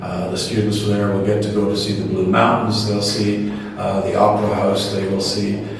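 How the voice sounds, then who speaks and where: A low-voiced man talks through his answers in a relaxed, halting way, dotting his sentences with "uh". He sits some distance from the microphone in a roomy space, so his voice carries a long echo and a faint hiss. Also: creaky. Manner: relaxed, hesitant with many fillers. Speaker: guest, study-abroad program organizer. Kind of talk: interview answers. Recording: echoey room, distant from mic, faint noise.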